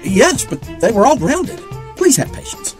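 A man playing an excitable, nervous, grandiose would-be superhero in an audio drama, halting and theatrical. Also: very high-pitched, soft background music.

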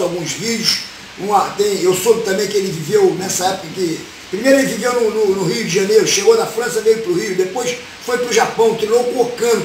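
A man's voice: sits high, reverberant, recorded from a distance, a little hiss or hum, poor audio. A Brazilian man in his fifties hosting his channel, speaking to camera and introducing a tribute video. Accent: Brazilian Portuguese. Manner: speaks straight to camera, rambling and repeating himself. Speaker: channel host.

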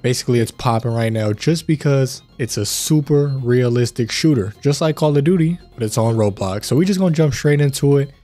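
A man with a stuffy nose from a cold, hosting casual gameplay commentary, chatty and prone to excited outbursts. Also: mid-range voice, brisk, hardly any pauses, soft background music. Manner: chatty, addresses viewers as fellas, excited outbursts. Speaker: gaming channel host. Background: faint game gunfire.

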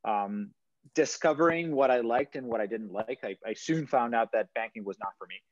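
A man's voice: medium pitch, moderate pace. A man in his thirties from Brazil, giving a presentation on a video call, hesitant with many fillers and restarts. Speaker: startup founder. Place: indoors at home, stone wall behind. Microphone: video call webcam. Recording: dull lo-fi video call audio.

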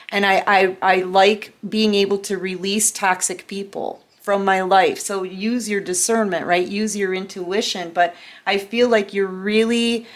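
Female tarot reader, mid-range voice, intimate and emphatic, talks directly to one listener at a time. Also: mid-range voice. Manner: earnest, emphatic, addresses listener directly with repeated check-ins. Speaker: tarot reader and channel host. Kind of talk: intimate spoken tarot reading to camera. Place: indoor room at a tarot table.

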